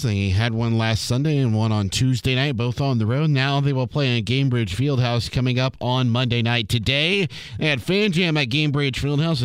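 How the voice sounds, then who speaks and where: A man's voice: mid-range voice, a bit bright, clear, resonant tone, no room sound. Dry-sounding man reading sports update. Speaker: sports reporter. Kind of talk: scripted sports radio update.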